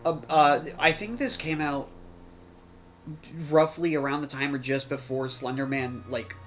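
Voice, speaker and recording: A man's voice: mid-range voice, steady tone, measured pace, fairly bright tone. Casual rambling man over music. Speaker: podcast co-host. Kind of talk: casual chat.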